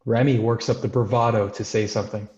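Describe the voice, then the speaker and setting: A man's voice: lowish voice, steady tone, fairly quick, darkish tone, reverberant, dull audio. A man reading screenplay stage directions as scripted narration.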